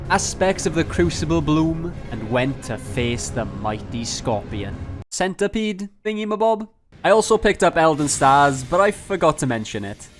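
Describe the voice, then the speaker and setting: A man hosts a gameplay video with music playing behind him. He slips between mock-epic roleplay and casual, profane joking, in a voice slightly on the higher side. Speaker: gaming video host.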